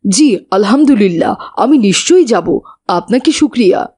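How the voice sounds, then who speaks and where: A woman's voice: mid-range voice, expressive, moderate pace, warm tone, breathy edge. A woman voicing a polite, principled child who moralises earnestly in a cartoon.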